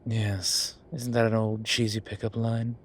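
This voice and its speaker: A man playing a polite, flirtatious incubus demon in an intimate roleplay audio drama, with hesitant, formal phrasing and a softness that turns breathy at times. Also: lowish voice, little pitch movement, measured pace, a little hiss or hum, natural voice. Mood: flirtatious, teasing. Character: courteous, flirtatious male incubus demon, restrained and apologetic.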